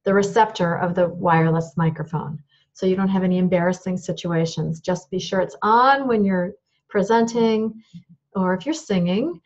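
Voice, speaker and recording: High-voiced woman teaching microphone technique. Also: a little mellow, highs cut off. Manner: practical, demonstrating equipment as she explains. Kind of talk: instructional talk to camera.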